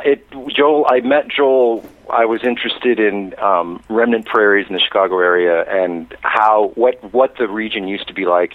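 A man, a documentary director, answering interview questions by phone in a halting way, often restarting his phrases. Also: on the low side, dry recording. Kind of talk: radio interview. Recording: tinny phone line.

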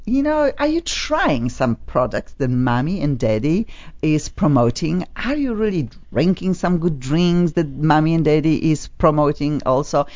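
A woman's voice: low-pitched, big pitch swings, a little slow, darkish tone, dull audio. A woman with a Polish accent hosting a casual studio talk show, enthusiastic and repeating words for emphasis. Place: studio on a top floor.

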